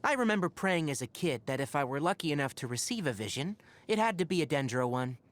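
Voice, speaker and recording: An actor playing a young fox-eared forest ranger in a video game, scholarly and patient, speaking in an articulate, instructive and gently wry way. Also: male voice, a little high, sing-song, fast talker. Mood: wry, measured. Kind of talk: scripted game character voice lines.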